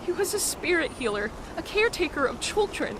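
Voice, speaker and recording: A grieving pack member in a game. Her voice is extremely high and swings widely with indignation. Clear noise sits behind it. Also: sing-song, brisk. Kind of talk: video game voice acting.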